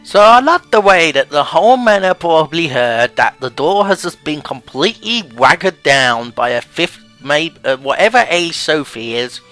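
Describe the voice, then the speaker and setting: A man's voice: a little high, expressive, moderate pace, few pauses, bright, forceful tone, faint music behind. A man giving casual, jokey, sweary commentary as a let's play host.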